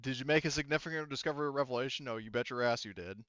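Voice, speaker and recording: Smooth-voiced man explaining game rules. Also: mid-range voice, very fast, fairly bright tone, no echo, dull audio. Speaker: game master.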